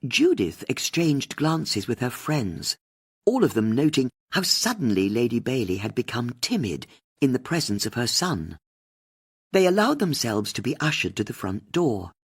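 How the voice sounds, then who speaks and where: Husky, expressive woman narrator. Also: a bass voice, normal speed. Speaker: audiobook narrator. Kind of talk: scripted mystery narration.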